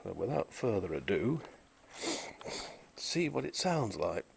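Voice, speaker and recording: A man giving an unhurried, technical show-and-tell of an old tape recorder he repaired, pausing often with "uh" as he points out its features. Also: on the low side, very animated, gravelly, darkish tone, slight background noise. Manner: unhurried, technical, with frequent "uh" pauses. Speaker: vintage electronics restorer. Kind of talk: casual show-and-tell demonstration.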